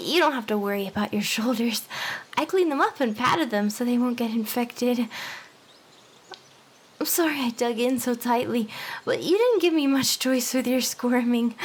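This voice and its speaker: A woman voicing a hyper, smitten young female harpy in an anime ASMR roleplay, chirpy and coaxing, with soft whispered turns. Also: a little high, a little slow, pauses often. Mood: soft, soothing.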